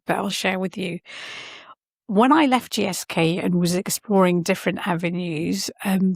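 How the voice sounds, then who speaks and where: A woman's voice: mid-range voice, vocal fry, no echo, clean studio sound. A middle-aged woman speaking as an interview guest, thoughtful and earnest, often restarting her sentences as she reflects. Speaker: podcast guest, science and healthcare leader.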